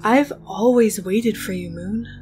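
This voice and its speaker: A woman's voice: mid-range voice, a little slow, warm tone, faint music behind, close to an everyday voice. A woman playing a devoted, wounded but defiant inventor in a dramatic comic dub, speaking earnestly with emotional weight. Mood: tender, sad.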